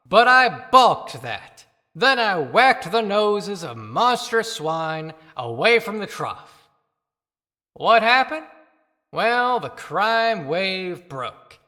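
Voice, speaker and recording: A man's voice: high-pitched, heavily acted. A man performing an indignant, defiant reformer mayor in declamatory audio play oratory. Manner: forceful, declamatory. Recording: long reverberant echo.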